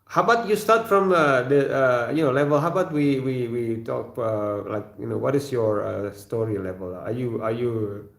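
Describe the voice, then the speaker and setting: A man with an Indonesian accent, not a native English speaker, hosting a casual livestream and reading viewer comments in a halting way, with repeated words, fillers and frequent throat clearing. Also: mid-range voice. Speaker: livestream host. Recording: echoey room.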